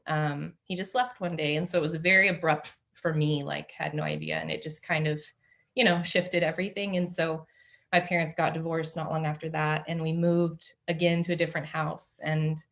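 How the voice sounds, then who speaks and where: A woman author from the southern US talks through her life story as an interview guest, candid and casual. Her voice sits a little low, and she often uses "like" and "um" as she speaks from her home office. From Oklahoma and New Mexico, USA. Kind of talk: conversational storytelling.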